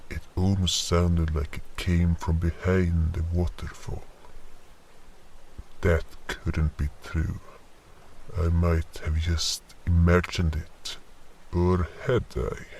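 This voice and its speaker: A man playing a weary, curious monster hunter, narrating his tale in a measured, deliberate way in an audio drama. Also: sits low, level tone, creaky, a little mellow, a little hiss or hum, exaggerated voice. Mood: reflective. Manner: measured, deliberate, pausing mid-sentence. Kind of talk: slow scripted first-person fantasy narration.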